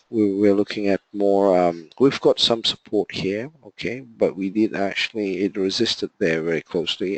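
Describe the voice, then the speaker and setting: A man's voice: fairly low pitch, no echo, poor audio. A man with a sore throat, explaining trading charts step by step in a live online session, with frequent okays and uhs. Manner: explains step by step, fills with okay and uh. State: sore throat, voice not clear or loud. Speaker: trading analyst presenting a live webinar. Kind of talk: live market analysis walkthrough.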